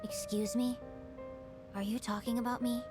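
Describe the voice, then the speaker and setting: A woman's voice: fairly high pitch, little pitch movement, moderate pace, close to an everyday voice. An actress playing an aloof, prickly yet dreamy teenage girl in a video game drama, blunt and guarded. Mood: indignant, determined. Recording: music over the voice.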